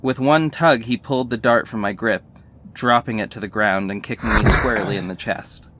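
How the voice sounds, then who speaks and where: A man's voice: lowish voice, moderate pace, a bit bright, close to an everyday voice. An actor playing a sardonic male superhero who narrates his own story in a scripted audio drama, wry and earnest. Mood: tense recounting.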